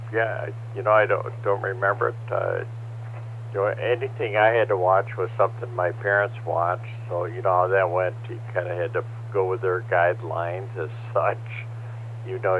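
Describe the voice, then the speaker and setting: An older man chatting over a ham radio net, hoarse from a cold, talking haltingly with many fillers. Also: low-pitched. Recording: tinny two-way radio transmission with faint hum.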